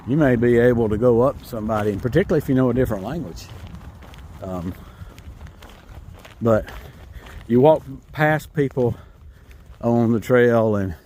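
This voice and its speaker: Southern man, folksy and rambling, self-amused hiker vlog, mid-pitched voice, faint outdoor noise behind. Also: moderate pace, long pauses, warm tone. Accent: Southern American. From North Carolina, USA. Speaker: hiker vlogger. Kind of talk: casual walking vlog. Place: outdoors on a rural trail.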